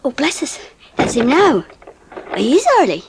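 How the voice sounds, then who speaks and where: High, lively working-class English wife. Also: female voice, normal speed, creaky, noisy background, exaggerated voice. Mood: fond, excited. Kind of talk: dramatised audiobook acting.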